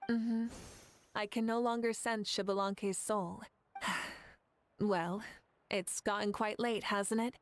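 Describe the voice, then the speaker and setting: A woman's voice: medium pitch, steady tone, a little hiss or hum, natural voice. Composed, caring warrior leader played by a woman. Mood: gracious, reassuring. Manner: measured, reassuring. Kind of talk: anime game voice acting. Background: game music.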